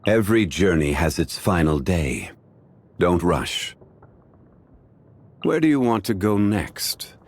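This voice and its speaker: An actor voicing a calm, courteous, wise ancient man in a video game, speaking formally and with measured eloquence. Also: sits low, full character voice. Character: calm, courteous, wise ancient male consultant of dignified bearing. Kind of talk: scripted video game character voice lines.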